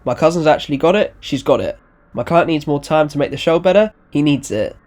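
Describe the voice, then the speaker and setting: A storyteller voicing a cocky, evasive, fast-talking man who manages clients, slangy, brazen and repeating himself. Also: medium pitch, lively intonation, darkish tone, voice pushed up, close to an everyday voice. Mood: defensive.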